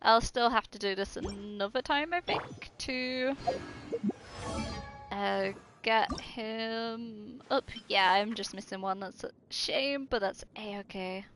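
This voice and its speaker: A woman's voice: medium pitch, slow, fairly bright tone. A woman livestreamer giving casual, playful gaming commentary in a chatty, doting way. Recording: game music behind the voice. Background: video game music.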